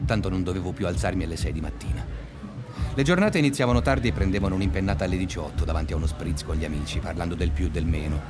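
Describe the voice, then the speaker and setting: An aimless, easygoing narrator in a radio drama. His voice is deep, conversational and full of movement, set over a clear music bed. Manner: conversational, musing.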